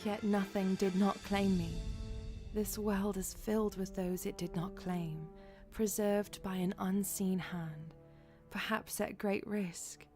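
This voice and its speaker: A woman playing the grave, sorrowful last survivor of a fallen fantasy race, reading a confession in a measured, poetic way. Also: medium pitch, fairly even intonation, natural voice. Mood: haunted, then reverent, resolute. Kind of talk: solemn dramatic reading of a confession. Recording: musical score under the voice. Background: music.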